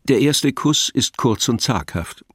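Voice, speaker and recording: A man narrating a scripted audio drama in a measured, literary storytelling manner. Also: mid-range voice, animated, fairly bright tone, breathy edge, no room sound, clean studio sound.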